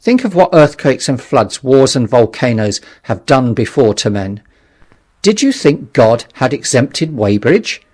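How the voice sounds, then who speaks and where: A man's voice: medium pitch, sing-song, a little slow, darkish tone, husky. A man voicing a sober, steadfast survivor in an audiobook story, firm and matter-of-fact as he exhorts. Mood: stern.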